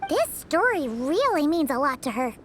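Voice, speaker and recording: Tiny, squeaky, chirpy fairy companion. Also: female voice, big pitch swings, moderate pace, a bit bright, slight background noise. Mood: curious. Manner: refers to self in third person, exclamatory. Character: tiny childlike floating fairy companion, eager, chirpy, curious. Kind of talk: video game character acting.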